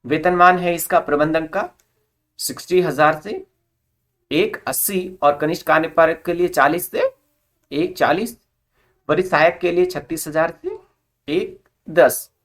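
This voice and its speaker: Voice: mid-range voice, very animated, a little slow, long pauses, fairly bright tone. A presenter with a mid-range voice delivers an informational explainer. The delivery is instructional, reading formal notice wording and then putting it in simpler everyday terms. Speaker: presenter of job recruitment updates.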